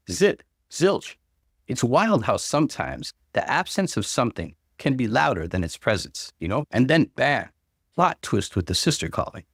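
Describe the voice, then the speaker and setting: A man with a fairly low voice narrates in a very animated way, swinging widely in pitch for emphasis. The sound is dry and close, suited to a storytelling host who reads and comments. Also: no room sound. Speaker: host reading and commenting on online stories. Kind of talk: scripted narration and conversational commentary.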